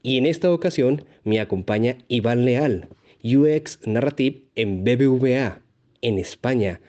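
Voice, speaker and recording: A man's voice: medium pitch, mellow, highs cut off. Courteous man hosting. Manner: courteous, formal welcoming phrases. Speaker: podcast host. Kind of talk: interview host.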